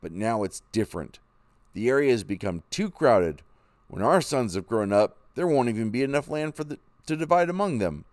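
A man's voice: mid-range voice, lively intonation, moderate pace, no echo, voice pushed up, lightly acted. A man voicing a determined young pioneer father who is set on heading west. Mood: eager. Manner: firm, eager. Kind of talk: storybook reading.

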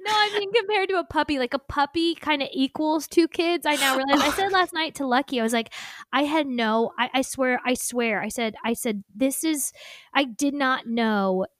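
A woman's voice: high voice, moderate pace, fairly bright tone, no echo, studio quality. A young woman from Indiana with a light Indiana accent, chatting casually and rambling with lots of fillers. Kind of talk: casual chat.

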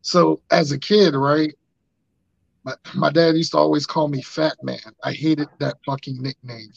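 Raspy-voiced man telling stories. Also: a little high, measured pace, no echo. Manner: animated, acts out quotes in funny voices. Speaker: podcast host. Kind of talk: casual storytelling chat. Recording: dry muffled lo-fi sound.

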